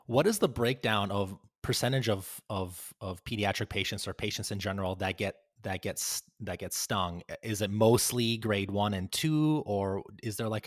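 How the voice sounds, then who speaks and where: A man's voice: on the low side, no echo, clean studio sound. A man hosting a conversational medical interview, affirming answers and checking that he has understood. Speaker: podcast host interviewing doctors.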